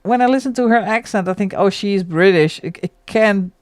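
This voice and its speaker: A woman's voice: mid-range voice, moderate pace, no room sound, clean studio sound. A middle-aged woman from the Netherlands with a Dutch accent, chatting casually and laughing often while she colours on a livestream. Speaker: livestream host.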